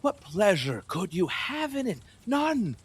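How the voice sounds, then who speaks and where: Wheedling, superstitious king for a stage-style audio play: high, wildly swooping delivery, boastful, lustful and unsteady. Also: sing-song, slightly rough, no echo, exaggerated voice. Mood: wheedling, pleading. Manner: pleading, boastful, erratic, with nervous laughs and halting repeats. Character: lustful, fearful, superstitious middle-aged male king, wheedling and volatile. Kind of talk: theatrical audio play acting.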